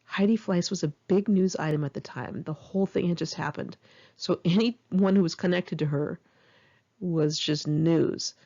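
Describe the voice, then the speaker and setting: A woman's voice: fairly low pitch, normal speed, warm tone, muffled recording. A middle-aged woman reading her memoir aloud in one take, candid and wry, with casual swearing. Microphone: home microphone.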